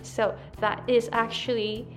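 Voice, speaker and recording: A woman's voice: a little high, little pitch movement, measured pace, faint music behind. A woman with a Japanese accent, a native Japanese speaker and English coach, giving chatty business advice to camera and often checking in with "right?". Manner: chatty, repeats "right?" and "like", self-corrections.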